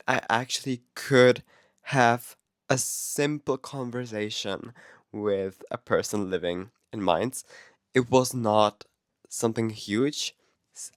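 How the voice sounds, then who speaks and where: A man's voice: on the low side, no echo, studio quality. A man with a Czech accent, whose first language is Czech, talking to camera in a chatty, candid vlog with frequent laughs and self-corrections. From Czech Republic. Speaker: vlogger. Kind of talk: casual vlog talk to camera.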